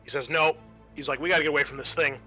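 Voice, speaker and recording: Man, game master, bright forceful voice, casual improvised narration, addressing players, music behind. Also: fairly high pitch, steady tone, rapid-fire. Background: background music.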